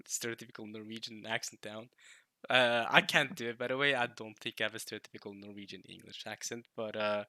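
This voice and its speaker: Brief: Norwegian male reactor, waffling casual talk, sharp bright voice, no room sound. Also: mid-range voice, dry recording, studio quality. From Norway. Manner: rambling, self-interrupting, laughing often. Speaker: reaction video host.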